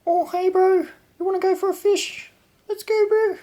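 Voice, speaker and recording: A keen Kiwi fishing lad with an exaggerated New Zealand accent, pitched way up high and squeaky. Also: male voice, level tone, normal speed, mellow. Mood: eager. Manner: squeaky, over-the-top, keen. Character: jokey young New Zealand fishing bloke.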